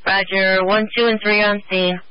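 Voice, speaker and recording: Very high-pitched male fire dispatcher. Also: flat delivery, moderate pace, smooth, dry recording. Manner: clipped, procedural. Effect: two-way radio. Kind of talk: dispatch radio announcements. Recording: muffled band-limited dispatch radio feed.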